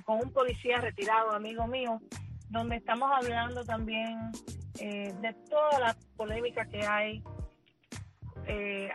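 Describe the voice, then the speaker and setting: Woman musician in her 30s, native English speaker, fairly high voice, chatty and repeating herself, faint music behind. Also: rough recording. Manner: warm, chatty, repeats words while finding them. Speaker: musician guest. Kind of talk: radio interview.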